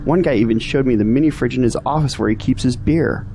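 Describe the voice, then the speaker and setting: A man's voice: mid-range voice, quick pace, mellow, slight background noise, natural voice. A man playing an earnest, discouraged young graduate in an audio drama, complaining about his troubles. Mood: earnest, puzzled. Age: young adult.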